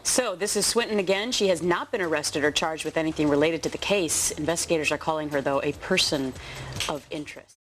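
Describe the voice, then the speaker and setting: A woman's voice: low-pitched, a little fast, slight background noise, low-quality recording. A woman news anchor reading a scripted bulletin. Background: music.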